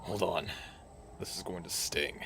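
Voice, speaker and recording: A man playing a deep, gravelly, cocky werewolf alpha. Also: fairly even intonation, a bit bright, a little hiss or hum, full character voice. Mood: wry, tending. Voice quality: low, gravelly. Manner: slow, teasing, theatrical. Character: cocky, flirtatious male werewolf alpha, teasing and smug. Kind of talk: romantic audio roleplay acting.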